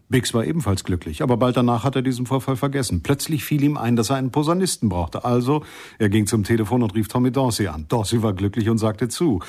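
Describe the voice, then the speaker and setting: Rauer, trockener Anekdotenerzähler. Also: male voice, mid-range voice, a little mellow. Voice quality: husky. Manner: deliberate, repetitive storytelling. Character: wry male witness telling anecdotes. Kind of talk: radio drama storytelling.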